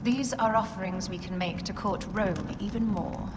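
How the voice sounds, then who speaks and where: Female actor as imperious Egyptian queen, regal, commanding, mid-pitched, game cutscene, long reverb over noise. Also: moderate pace, lightly acted. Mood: haughty, assured. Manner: regal, commanding, self-assured. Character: proud, imperious young queen of ancient Egypt. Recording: echoey reverb with clear background noise.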